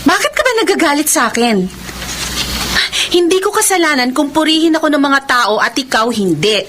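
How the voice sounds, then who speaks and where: A woman's voice: high voice, expressive, moderate pace, noisy background. A Filipino actress playing a kind, considerate young working woman and elder sister in a radio drama, with a polite manner. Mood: earnest, frustrated. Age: young adult.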